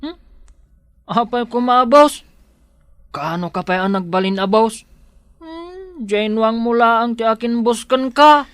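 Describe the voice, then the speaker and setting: A man's voice: extremely high pitch, lively intonation, a little slow, pauses often, a bit bright, smooth, dry recording, full character voice. Man playing a devoted Ilocano household helper. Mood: confrontational. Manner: deferential, addressing his employer as ma'am. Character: loyal male household helper, devoted and protective of his lady employer. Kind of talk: Ilocano audio drama acting.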